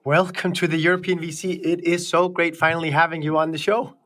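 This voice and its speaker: A man's voice: fairly high pitch, little pitch movement, fairly quick, background noise. A man hosting a podcast interview in casual chat, with enthusiastic wording.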